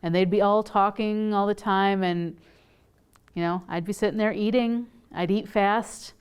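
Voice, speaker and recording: A woman's voice: mid-range voice, steady tone, measured pace, clean tone. A woman interpreting in the first person for a deaf man in a news interview.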